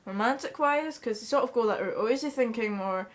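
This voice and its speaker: A woman's voice: fairly high pitch, a little fast. Chatty young Scottish woman vlogging. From Scotland. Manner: chatty, blunt, swears freely. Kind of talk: casual chat to camera. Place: small bedroom indoors. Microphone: camera in front at close range.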